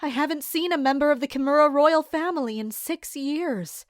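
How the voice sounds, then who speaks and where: Woman acting a guarded, measured palace servant narrator. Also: high-pitched, dry recording, studio quality, natural voice. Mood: shocked, uneasy. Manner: measured, serious storytelling. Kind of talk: scripted first-person audio drama narration.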